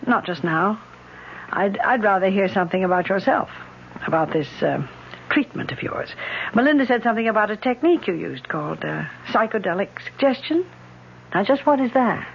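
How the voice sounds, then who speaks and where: A woman's voice: medium pitch, lively intonation, moderate pace, creaky. Proud, skeptical woman, muffled recording. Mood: guarded, probing. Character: proud, skeptical, embittered woman with paralyzed hands. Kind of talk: old-time radio drama acting. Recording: dull old radio recording with hiss.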